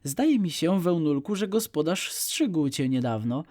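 A man's voice: a little high, big pitch swings, unhurried, a little mellow. A man voicing a cheerful, bossy little female frog who gives eager instructions.

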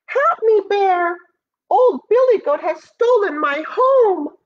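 Woman as storybook badger, small, upset, pleading, high lifted voice, swooping intonation. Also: animated, takes it slowly, muffled recording, full character voice. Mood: frightened, pleading. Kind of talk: children's storybook character reading.

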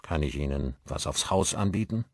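A man acts out a hearty village pub landlord for an audiobook. The voice is jovial and welcoming, swinging widely in pitch, and sounds close and dry. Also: lively intonation, moderate pace, darkish tone, dry recording, full character voice. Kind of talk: audiobook character dialogue.

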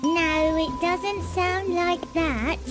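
A woman's voice: extremely high pitch, extremely slow, over music, heavily acted. A woman playing a curious, fussy onlooker who gives hints in a children's storybook. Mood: curious, instructive. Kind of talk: children's storybook character acting.

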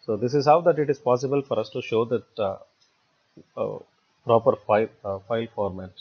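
A man narrating a step-by-step software tutorial in a halting way, repeating words as he goes. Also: medium pitch, steady tone, husky. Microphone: voice-over recorded for screen capture. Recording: dull, muffled lo-fi voice recording.

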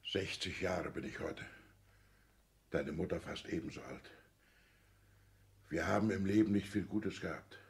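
A stubborn, grumbling father of sixty with a deep, creaky voice. He is pompous and theatrical, in the style of a vintage radio comedy. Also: a little slow, long pauses. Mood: plaintive, bewildered. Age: 60s. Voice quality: gravelly. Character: blustering, stubborn petty-bourgeois father of sixty. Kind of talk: 1960s German radio play acting.

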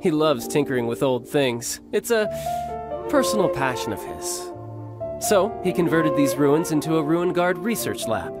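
A man's voice: fairly high pitch, expressive, normal speed, lightly acted. A man playing a confident, protective young warrior and older brother in a video game, with a polished, theatrical delivery. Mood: explaining, breezy. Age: young adult. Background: game music.

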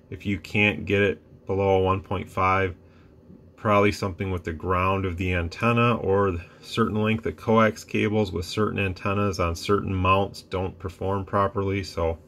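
A man casually explaining a radio on his workbench, relaxed and rambling with fillers and restarts. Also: low voice, slight background noise. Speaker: radio reviewer. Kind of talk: casual product demo. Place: workbench room with radio gear.

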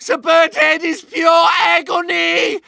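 An actor playing an unhinged German battlefield doctor with a theatrical, barking manner and a German accent. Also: male voice, very high-pitched, creaky, bright, forceful tone, dry recording, studio quality, exaggerated voice. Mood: manic. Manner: theatrical, barking, gleefully deranged. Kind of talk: video game voice lines.

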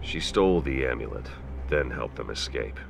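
Very deep, haughty game fighter. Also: male voice, lively intonation, moderate pace, gravelly, over music, full character voice. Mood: stern. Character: haughty menacing male fighter. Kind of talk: cinematic fighting game acting.

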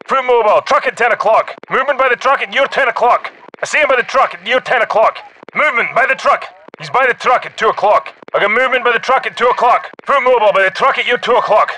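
A man voicing a gruff, urgent special forces soldier in a military shooter game, giving clipped, terse combat callouts. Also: extremely high pitch, pushed and bright, poor audio. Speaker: video game voice actor.

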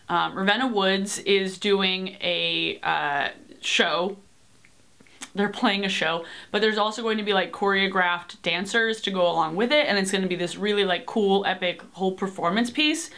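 A woman's voice: medium pitch, fairly bright tone. A young woman rambling casually in a bedroom vlog, full of "like" and "um" and casual swearing, recorded on a webcam. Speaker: vlogger. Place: small bedroom, indoors. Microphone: webcam at desk distance.

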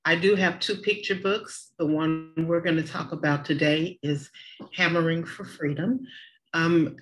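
A woman's voice: sits low, rough recording. A middle-aged woman from Tennessee, a children's author and former teacher, introducing herself on a video call in a heartfelt way. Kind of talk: self-introduction on a webinar. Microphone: computer mic on a video call.

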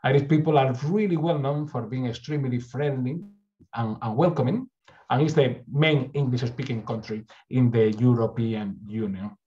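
A man's voice: medium pitch, warm tone, muffled recording. A middle-aged man from Spain with a Spanish accent, giving an earnest university presentation over slides with frequent restarts. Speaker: university recruitment speaker. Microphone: webcam on a video call.

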